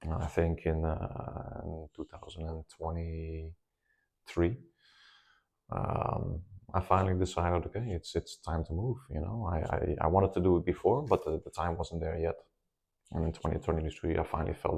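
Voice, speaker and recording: A man's voice: sits low, steady tone, normal speed, creaky, a little mellow. A man, a guest speaking in a casual interview, hesitant with many ums and restarts. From Netherlands.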